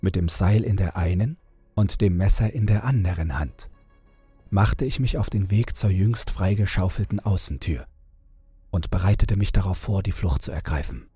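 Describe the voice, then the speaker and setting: A man reading a scripted horror audiobook in a measured, dramatic storytelling manner. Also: low voice, warm tone, husky, dry recording. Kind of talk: scripted creepypasta audiobook narration. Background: background music.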